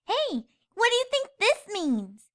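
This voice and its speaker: A woman's voice: extremely high pitch, wildly swooping pitch, unhurried, voice pushed up, full character voice. A woman voicing a small, sweet, prim and skeptical filly in a cartoon fan story, speaking politely and precisely.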